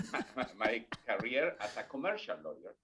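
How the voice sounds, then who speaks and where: A man's voice: mid-range voice, very animated, moderate pace, noisy background. A middle-aged man from Peru with a Peruvian Spanish accent, a native Spanish speaker, speaking earnestly as a guest in a podcast interview with small grammar slips. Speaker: guest, corporate learning executive.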